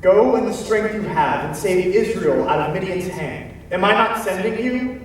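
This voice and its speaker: A man's voice: high-pitched, lively intonation, moderate pace. A man playing an assured angel of the Lord in a stage drama, declaring with authority. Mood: commanding, assured. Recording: very echoey hall, distant mic, faint noise.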